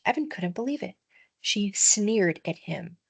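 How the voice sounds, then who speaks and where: Animated woman reading a children's story. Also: mid-range voice, unhurried, vocal fry, breathy edge. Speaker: teacher reading aloud. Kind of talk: expressive children's storybook narration.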